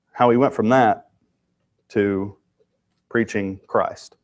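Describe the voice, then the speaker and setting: A lower-pitched man leads a Bible study, stuffed-up and a little thick in the voice. He talks informally and often restarts a thought mid-sentence. The setting is a church classroom. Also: darkish tone. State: congested. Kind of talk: conversational Bible lesson.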